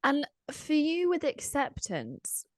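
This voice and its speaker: A woman with a croaky voice, hosting a podcast interview and asking earnest, drawn-out questions. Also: high voice, huge pitch swings, no room sound. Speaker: podcast host.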